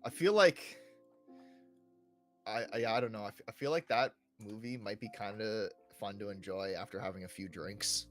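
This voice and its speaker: A man's voice: fairly low pitch, moderate pace, a bit bright, faint music behind. A man hosting a casual podcast chat, talking in a chatty way and often checking in with "you know" and "right". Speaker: podcast host.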